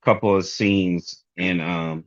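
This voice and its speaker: A man in his forties with a Baltimore accent, explaining things haltingly with many ums in casual chat. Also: low-pitched, steady tone, dull audio. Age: 40s. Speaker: guest, toy maker.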